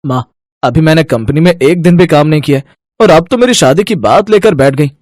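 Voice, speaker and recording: An actor playing an eager, respectful young manager and dutiful son in a family storybook tale. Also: male voice, on the high side, animated, brisk, a little mellow. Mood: happy, affectionate.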